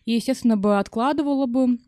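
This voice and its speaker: A young woman talking informally as a guest in a relaxed casual chat. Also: a little high, fast, no room sound, clean studio sound. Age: young adult. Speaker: podcast guest.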